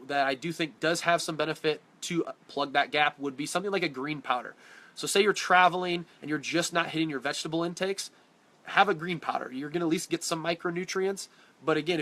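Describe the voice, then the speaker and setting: Male fitness coach, blunt and direct, stuffy congested voice, bright tone, webcam live stream. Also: a little high. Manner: direct, blunt, answers viewer questions by name. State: congested from a cold. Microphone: phone or webcam on a live stream.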